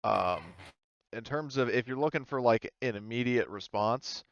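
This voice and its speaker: A man acting as game master for a tabletop game, narrating off the cuff in a halting, casual way, often searching for words. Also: mid-range voice, no echo, lo-fi sound.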